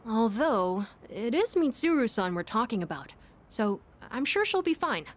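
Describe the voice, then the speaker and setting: A woman playing an earnest, gentle young schoolboy in a video game, speaking politely and thoughtfully with some hesitation. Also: a little high, very animated, normal speed. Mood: relieved, hopeful. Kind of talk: English video game voice acting.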